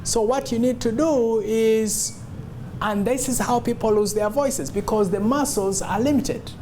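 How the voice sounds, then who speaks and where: A man's voice: high voice, a little slow, warm tone, slight background noise. A man giving an instructional talk as a public speaking coach, emphatic and demonstrative, repeating key phrases.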